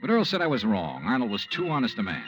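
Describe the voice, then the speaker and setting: A cool, probing insurance investigator in a vintage radio noir. His medium-pitched voice moves widely in tune while staying dry and matter-of-fact, with music clearly underneath. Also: wildly swooping pitch, quick pace. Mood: measured, brooding. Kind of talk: 1950s radio noir narration and dialogue.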